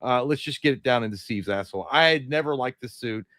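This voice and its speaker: A man with a naturally deep voice, co-hosting a live movie chat with blunt, profane banter. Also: fast, a bit bright, no room sound. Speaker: podcast co-host.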